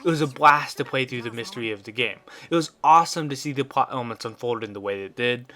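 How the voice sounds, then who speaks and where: A man's voice: medium pitch, fast talker, bright, forceful tone. Man narrating a scripted game review.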